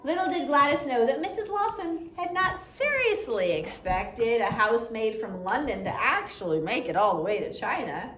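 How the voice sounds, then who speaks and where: Lady reading an audiobook story, kinda high voice, expressive, echoey room. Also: normal speed, few pauses. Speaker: audiobook narrator, reader. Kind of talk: scripted storytelling read aloud from a biography. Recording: roomy echo with faint background noise.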